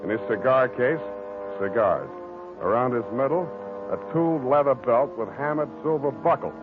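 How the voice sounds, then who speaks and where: Guy playing tired sardonic gumshoe, old time radio detective show, deadpan, muffled sound w music. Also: medium pitch, close to an everyday voice. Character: wry, weary hard-boiled male private detective. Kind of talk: 1940s radio detective drama acting.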